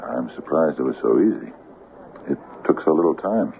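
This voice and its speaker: An actor playing a weary, guilt-ridden small-town barber with a halting, sardonic delivery in a radio drama. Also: male voice, animated, exaggerated voice. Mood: weary, rueful. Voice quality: deep, gravelly. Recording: tinny, noisy old radio broadcast.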